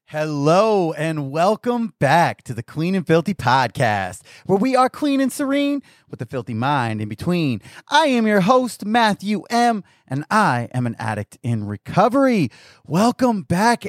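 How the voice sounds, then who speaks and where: A man's voice: sits high, dry recording, clean studio sound. A young man with a scratchy voice, tired and a little under the weather, chatting casually as a podcast host with jokes at his own expense. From Arizona, United States. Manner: chatty, self-joking, restarts often. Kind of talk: casual solo podcast talk.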